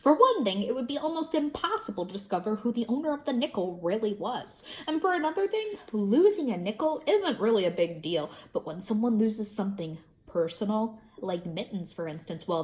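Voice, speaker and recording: A woman's voice: medium pitch, sing-song, a little mellow, lightly acted. A woman reading as a friendly school principal. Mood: kindly. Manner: explaining, kindly, measured.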